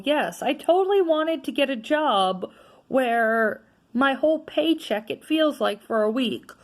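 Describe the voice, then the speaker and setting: A woman vlogger with a naturally loud voice, venting in a casual, frustrated rant that is full of tag questions. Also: mid-range voice. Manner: frustrated, ranting, frequent "right?" tags.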